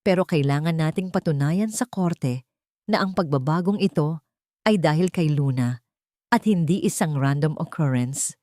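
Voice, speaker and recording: A woman's voice: deep voice, normal speed, dark tone, no echo, clean studio sound, lightly acted. A woman with a Filipino accent voicing a businesslike, cautious male attorney in an audio drama, formal and probing.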